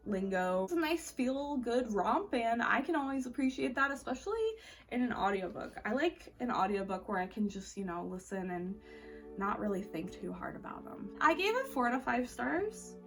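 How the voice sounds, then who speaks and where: A woman's voice: fairly high pitch, very animated, normal speed, fairly bright tone. A woman reviewing books in a casual, informal, conversational vlog style with lots of filler words. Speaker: book reviewer vlogger. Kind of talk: casual chatty monthly reading wrap-up. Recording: distant mic with music behind. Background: background music.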